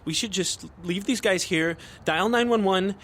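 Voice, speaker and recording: An actor playing an anxious, indignant young American nerd dragged into a crime in an audio drama, exasperated and stammering. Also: male voice, high voice, measured pace, a bit bright. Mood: anxious, pleading. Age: young adult. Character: panicky, indignant young nerd, reluctant accomplice.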